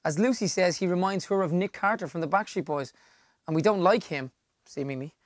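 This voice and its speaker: High-voiced Irish man reading aloud. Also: a little fast, dry recording, clean studio sound. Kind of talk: dramatic book reading. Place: small padded studio room.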